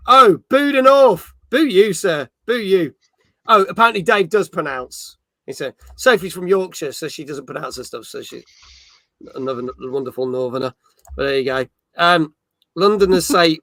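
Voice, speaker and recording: A middle-aged man hosts a casual gaming chat, rambling cheerfully and reading out viewers' messages. His voice is slightly high and very bright. The sound is dry and close. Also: dry recording. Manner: rambling, upbeat. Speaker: host.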